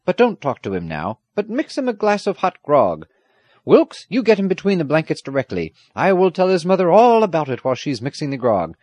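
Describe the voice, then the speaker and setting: A hearty old country squire from a storybook, performed by a man, doting and excitable. He speaks in a raised, very animated voice with a dry, close sound. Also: fairly high pitch, a little fast, no echo, highs cut off, voice pushed up. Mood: hearty, bustling. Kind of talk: audiobook character acting.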